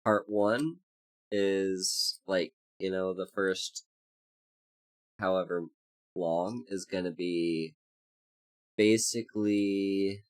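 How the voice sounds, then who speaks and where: A VTuber streamer in a casual livestream chat, congested and hoarse, rambling with many fillers while greeting chat by name. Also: low-pitched, fairly even intonation, extremely slow, pauses often, rough recording.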